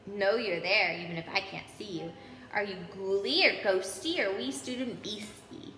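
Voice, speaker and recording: Storybook poltergeist, male, wicked and taunting singsong, played by a woman, bright voice, echoey recording. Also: medium pitch, moderate pace, natural voice. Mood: taunting, suspicious. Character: wicked, teasing male poltergeist, suspicious and sing-song. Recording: echoey hall, background noise.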